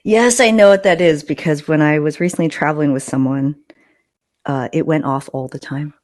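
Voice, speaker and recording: Woman, lower-pitched voice, podcast host, casual anecdotes, emphatic, joking asides. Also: moderate pace, darkish tone.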